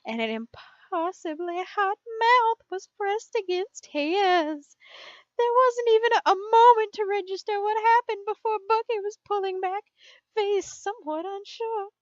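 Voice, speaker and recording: A woman with a high voice reads a piece of fan fiction aloud. She sounds amused by what she is reading. The recording is close and dry but dull and lo-fi. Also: no room sound.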